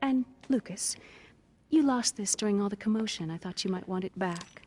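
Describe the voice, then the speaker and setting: A female actor voices a haughty game villainess with a mid-range voice. She is smug and commanding, lecturing with taunting condescension. Music runs quietly behind her. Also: medium pitch, moderate pace, close to an everyday voice. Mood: mock casual. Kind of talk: video game cutscene voice acting.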